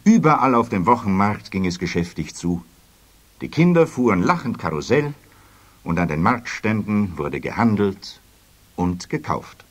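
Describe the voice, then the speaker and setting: Husky, animated male storyteller. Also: fairly low pitch, moderate pace. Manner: expressive storytelling. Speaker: narrator. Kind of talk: scripted children's story narration.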